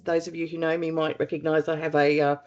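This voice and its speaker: Aussie woman chairing a webinar, husky head-cold voice, lots of ums, kinda dull call audio. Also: sits low, level tone, fast talker, darkish tone. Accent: Australian. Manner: chatty, many ums and uhs. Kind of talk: webinar opening remarks. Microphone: computer mic on a video call.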